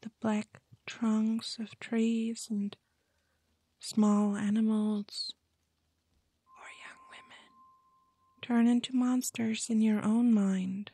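Actress as menacing young gothic sister guarding a manor. Hushed, dark-toned, breathy whisper, taunting ASMR horror. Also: female voice, on the high side, level tone, slow pace, long pauses, dry recording, heavily acted. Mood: taunting, sinister.

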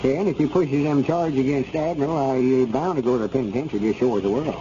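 Muffled old radio, folksy Southern country storekeeper played by an actor. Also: male voice, medium pitch, fast, mellow, slight background noise, close to an everyday voice. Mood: glum, disgusted. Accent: rural Southern US. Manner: drawling, homespun country grammar. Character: folksy rural storekeeper, worried and exasperated with his partner. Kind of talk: 1930s-40s radio comedy serial acting.

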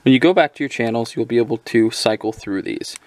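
A man's voice: mid-range voice, a little fast, darkish tone. A man giving a casual, unscripted gadget overview as a reviewer, explaining things informally. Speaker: product reviewer.

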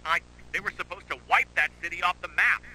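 Man acting a high, piercing, agitated official. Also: fast, pushed and bright, full character voice, low-quality recording. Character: grave, agitated official in a horror series. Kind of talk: dramatic dialogue in an analog horror series. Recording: buzzy radio-like audio, faint hum.

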